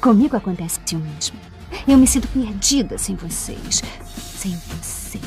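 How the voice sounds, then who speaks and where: An actress playing a gentle, forlorn young woman in a dubbed telenovela. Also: mid-range voice, lively intonation, a little slow, soft background music. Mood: forlorn, tender.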